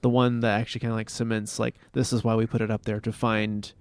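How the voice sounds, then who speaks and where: A man's voice: fairly low pitch, level tone, quick pace, smooth, no room sound. A man chatting casually, explaining space topics enthusiastically with frequent restarts. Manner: rambling, restarts often, enthusiastic about space. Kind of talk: casual podcast chat.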